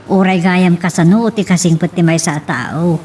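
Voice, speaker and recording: A woman's voice: lowish voice, fairly even intonation, normal speed, a little mellow, slight background noise, natural voice. A woman acting an earnest, distressed, pleading woman in an Ilocano romance radio drama. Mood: sorrowful, concerned.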